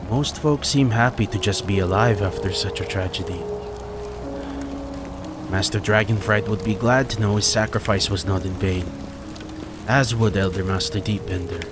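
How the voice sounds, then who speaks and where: A man playing a solemn, grieving ninja warrior in a fantasy audio drama, speaking in a measured, formal, reverent way. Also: on the low side, fairly even intonation, over loud music, close to an everyday voice. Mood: grave, resigned.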